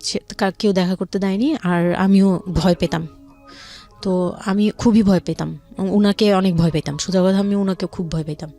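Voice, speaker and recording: A woman's voice: medium pitch, steady tone, moderate pace, warm tone. Bangladeshi woman confiding, music underneath. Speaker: guest telling her life story. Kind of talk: personal storytelling in a radio interview. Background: background music.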